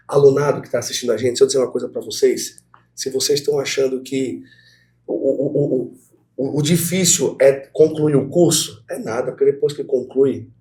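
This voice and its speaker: A middle-aged Brazilian man, a guest professor, talks at a quick clip in a mellow, warm tone. His Brazilian Portuguese accent colours every story. He speaks animatedly and often turns to address the students directly. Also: mid-range voice. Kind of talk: conversational interview storytelling.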